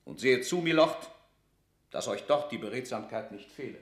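A man's voice: medium pitch, expressive, moderate pace, echoey room, close to an everyday voice. A man playing a cold, sarcastic statesman in a classical radio drama, with formal, commanding and cutting declamation. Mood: bitter sarcasm. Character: stern, cold, sarcastic male royal statesman.

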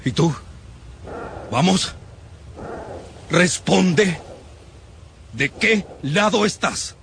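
A man's voice: sits high, expressive, drawn-out words, creaky, a bit bright, slight background noise, full character voice. An actor playing a fanatical, contemptuous male survivor in a radio drama, haranguing and menacing in a declamatory way. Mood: ranting, contemptuous.

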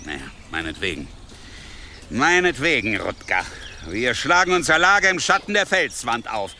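An actor playing a menacing, commanding leader of medieval horsemen in an audio drama, imperious and threatening. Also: male voice, a little high, bright, forceful tone, a little hiss or hum, heavily acted. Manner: imperious, threatening, barking orders.

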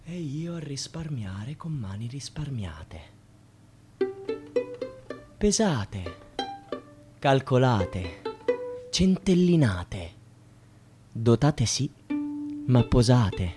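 An actor playing a frustrated, sardonic young student in a rhythmic, declamatory radio-drama monologue that moves between muttering and cries. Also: male voice, medium pitch, sing-song, extremely slow, long pauses. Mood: hushed, bitter. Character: frustrated, bitterly sardonic young male student railing at the system. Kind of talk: theatrical spoken-word monologue with wordplay and repetition. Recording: loud string music behind the voice. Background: bowed string music.

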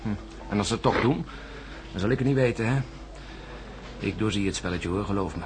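An actor playing an embittered, suspicious astronaut in a science-fiction radio drama, emphatic and sardonic, with brooding hesitations. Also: male voice, lowish voice, brisk, over music, natural voice. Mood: bitter, agitated. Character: embittered, suspicious male astronaut insisting on his alarming sighting.

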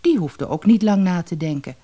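A woman narrating a children's fairy tale as a storyteller, reading in an expressive, dramatized way. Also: on the low side, normal speed.

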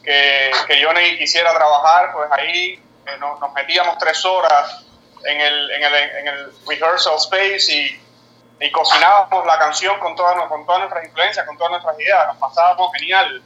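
A man's voice: on the high side, fairly even intonation, normal speed, pushed and bright, reverberant, highs cut off, slight background noise. A man in a band giving casual interview answers, stammering and restarting his sentences. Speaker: band member guest.

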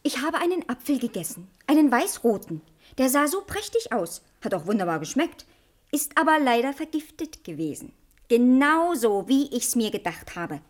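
A woman's voice: high voice, sing-song, normal speed. An actress in a radio play fairy tale playing a vain, naive, childish young princess with a girlish, exclamatory way of talking. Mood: dazed, naive.

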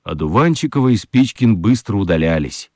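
A male narrator tells a children's story for radio. His low voice moves in lively swings, with dramatic pauses, in a dry, close recording. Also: no echo.

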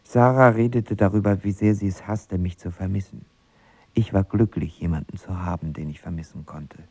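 A man's voice: low voice, steady tone, moderate pace, a little mellow, breathy edge. An actor playing a lovesick, volatile young man in an audio drama, talking in a colloquial, sarcastic, self-deprecating way. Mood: wistful, reflective. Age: young adult. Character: lovesick, volatile young male actor narrating his first heartbreak. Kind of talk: audio drama acting with first-person narration.

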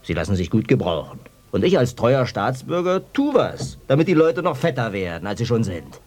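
An actor playing a bitter, sardonic farmer who rants colloquially and ironically in a German radio play. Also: male voice, medium pitch, big pitch swings, moderate pace, darkish tone.

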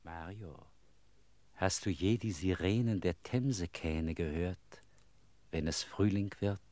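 A man's voice: low voice, takes it slowly, a little mellow, no echo. A man acting in a German radio play as a defiant, poetic vagabond with a pointed, questioning manner. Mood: quiet, haunting.

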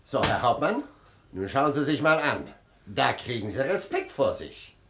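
An actor playing a jovial, excitable middle-aged senior official in a 1950s radio play, effusive and commanding in a theatrical way. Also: male voice, medium pitch, measured pace, noisy background. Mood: excited, commanding.

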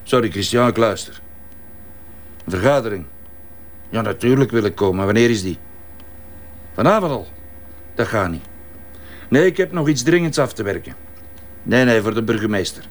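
A man's voice: fairly low pitch, expressive, normal speed, long pauses, lightly acted. An actor playing an irritable, curt man at his desk in a radio drama, with a Flemish accent. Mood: businesslike, courteous. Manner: gruff, curt, snappy.

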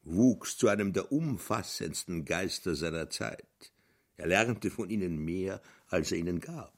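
A man narrating a literary radio play in a deliberate, emphatic manner. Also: deep voice.

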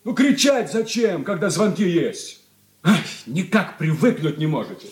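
A man's voice: high voice, very animated, unhurried. An actor playing an irritable, pompous grown son who bosses his family in a 1980s Soviet radio play, in a roomy studio. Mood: irritated. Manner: peevish, haughty, emphatic.

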